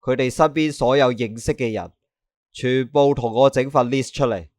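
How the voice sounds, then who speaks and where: A man's voice: mid-range voice, normal speed, darkish tone, dry recording, clean studio sound, close to an everyday voice. A man with a Hong Kong Cantonese accent playing a proud, obsessive young police superintendent in a theatrical, brooding radio drama style. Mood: commanding. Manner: theatrical, brooding, swinging to outbursts. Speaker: voice actor.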